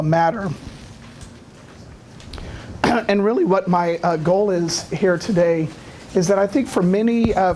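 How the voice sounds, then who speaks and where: A man giving a lecture, hoarse from a cold, halting often with filler sounds and clearing his throat. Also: high-pitched, darkish tone, a little hiss or hum. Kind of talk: academic lecture.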